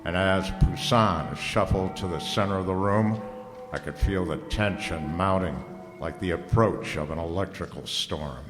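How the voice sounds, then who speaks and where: An actor playing a wry, laconic private detective in an old-time radio noir drama, slangy and dry. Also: male voice, low-pitched, a little slow. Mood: narrating, wry. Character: wry, hardboiled male private detective narrator. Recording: reverberant hall, music underneath.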